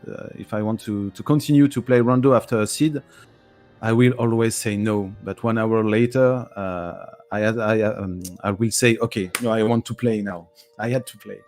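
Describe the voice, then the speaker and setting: A man with a French accent, French his native tongue, chatting after a race as a guest, halting with many restarts and frequent laughs. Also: on the low side, steady tone, darkish tone, faint music behind. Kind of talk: casual post-race chat.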